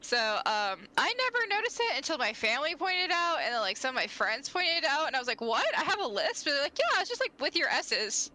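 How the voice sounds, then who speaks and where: Animated woman with a slight lisp. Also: high voice, fairly quick, few pauses. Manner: animated, playful, shouts at the game. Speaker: vtuber playing a game. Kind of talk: casual chat while gaming.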